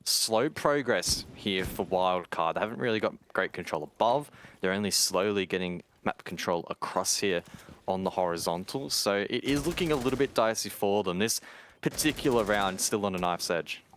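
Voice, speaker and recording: Low-voiced man casting an esports match. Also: animated, normal speed, a bit bright, a little hiss or hum. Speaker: esports commentator. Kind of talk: live game commentary and analysis.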